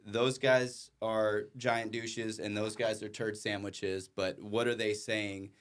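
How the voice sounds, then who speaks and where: A man with a somewhat deep voice hosts a casual podcast. His talk is blunt and full of slang, and he often says a phrase twice. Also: flat delivery, slow pace. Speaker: podcast host.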